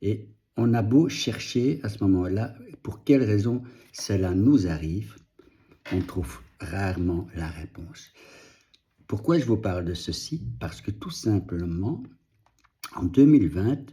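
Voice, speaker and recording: Man, Dutch native speaker, low voice, mellow tone, reflective, halting. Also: expressive. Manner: slow, reflective, halting with restarts. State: moved, emotional. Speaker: vlogger and author. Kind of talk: personal video update.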